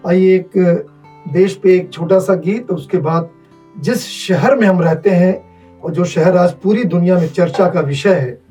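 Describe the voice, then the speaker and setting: A man, reciting patriotic verse and addressing a gathering formally, in measured, repeated phrases. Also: sits high, mellow. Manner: measured, phrase-by-phrase delivery with repetition. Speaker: poet, chair of poetry gathering. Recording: distant muffled microphone, music underneath.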